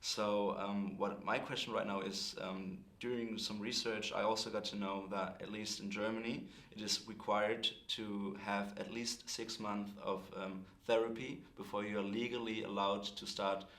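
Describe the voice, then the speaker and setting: A man's voice: lowish voice, level tone, moderate pace, few pauses, fairly bright tone, echoey room. A man interviewing a guest, asking long, careful questions with many fillers.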